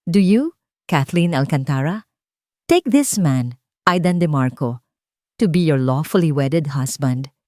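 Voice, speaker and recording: Woman reading tired judge, flat formal vows, low voice, dry close sound. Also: measured pace, long pauses, no echo. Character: weary middle-aged judge officiating a wedding.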